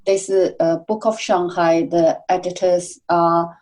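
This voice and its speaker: A woman's voice: lowish voice, flat delivery, slow pace, darkish tone. A woman being interviewed as a literary guest, speaking hesitantly with many fillers. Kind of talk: casual interview chat.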